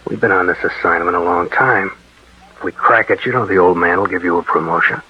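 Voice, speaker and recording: A man's voice: deep voice, brisk, breathy edge. A man playing a hard-boiled, weary narcotics detective in a 1940s radio drama. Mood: sour. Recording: dull, muffled 1940s radio recording.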